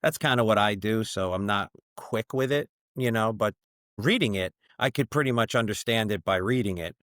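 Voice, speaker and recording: A middle-aged man tells family stories in an easy, rambling podcast chat, leaning on "you know" and acting out quotes. His voice sits fairly low. The sound is dry and close, with no room around it. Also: moderate pace, no room sound. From born in Chile, raised in the US. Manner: chatty storyteller, many "you know" fillers, animated when quoting. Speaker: brewery founder, podcast guest. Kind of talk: casual conversational interview.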